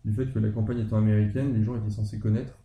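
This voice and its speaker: A man's voice: lowish voice, little pitch movement, racing, roomy sound, highs cut off. A man co-hosting a podcast, talking casually and hesitantly, with many fillers and restarts. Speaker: podcast co-host discussing a roleplaying campaign.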